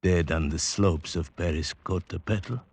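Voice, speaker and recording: Radio drama: haunted mountain guide back from presumed death, husky, dry sound, halting formal delivery. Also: male voice, low voice, darkish tone, no echo. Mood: haunted, weary. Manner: halting, solemn, formal phrasing. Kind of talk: old-time radio drama acting.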